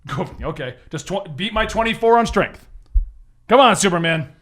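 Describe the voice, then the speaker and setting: A man's voice: high-pitched, expressive, normal speed, fairly bright tone, a little hiss or hum. A man acting as game master, casually narrating a superhero tabletop game and loosely describing the action as he goes.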